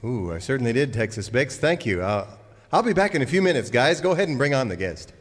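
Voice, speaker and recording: Man as a animated, courteous cowboy show leader. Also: medium pitch, quick pace, natural voice. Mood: genial. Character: polite, wry singing-cowboy leader of the show. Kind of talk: comic Western radio theater sketch. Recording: reverberant noisy hall with audience.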